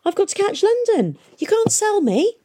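A woman's voice: very high voice, big pitch swings, normal speed, dark tone, voice pushed up. A woman voicing an indignant teenage boy who protests urgently.

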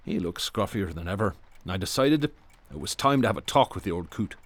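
A man's voice: low voice, expressive, fairly quick, background noise. An actor playing a wry, dogged male New York police detective in a radio drama, sardonic in his delivery. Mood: reflective. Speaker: detective and narrator. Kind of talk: radio drama dialogue and narration.

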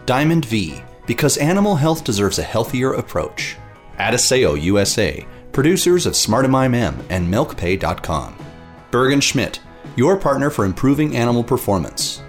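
A man reading a scripted sponsor list in a measured, formal way. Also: medium pitch, very animated, faint music behind. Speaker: sponsor announcer.

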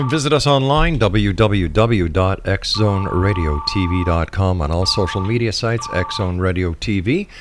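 A male radio host speaks in a lowish voice. He presents and asks questions in the style of a broadcast interview. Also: slight background noise.

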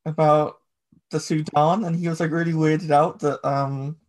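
A man's voice: fairly high pitch, flat delivery, unhurried, a little mellow. An English man hosting a podcast, rambling through casual chat with lots of filler words. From England. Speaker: podcast host.

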